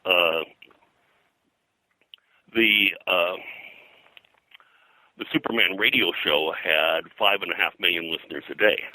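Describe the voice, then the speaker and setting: Very deep, bright-edged older man. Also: slow, pauses often, a little hiss or hum, poor audio. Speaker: interview guest, pop culture historian and comics artist. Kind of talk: conversational reminiscing interview.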